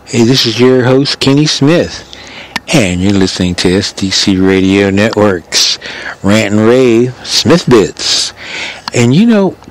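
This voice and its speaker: A man's voice: lowish voice, expressive, slow pace, a little hiss or hum. An older American man hosting a radio show, telling a story casually in a rambling, conversational way. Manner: rambling, conversational, re-enacts dialogue.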